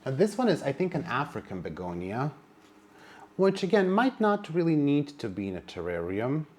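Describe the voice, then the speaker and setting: A man's voice: fairly high pitch, wildly swooping pitch, moderate pace. A man whose first language is Serbian, narrating a casual plant vlog in a chatty, wry manner with sassy asides. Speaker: plant vlogger.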